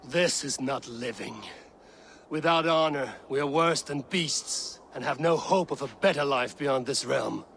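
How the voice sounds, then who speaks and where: A man's voice: medium pitch, measured pace, gravelly, a bit bright, slight background noise. An actor playing a stern, blunt male Viking warrior in a video game cutscene. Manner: blunt, stern, honor-bound.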